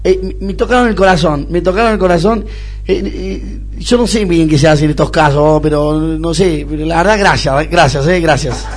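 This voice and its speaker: A man's voice: fairly high pitch, normal speed, faint music behind, natural voice. A man playing a spoiled, snobbish young Argentine businessman in a radio comedy-drama, whining, stammering and repeating himself. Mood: exhausted, grateful. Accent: Argentine (Rioplatense).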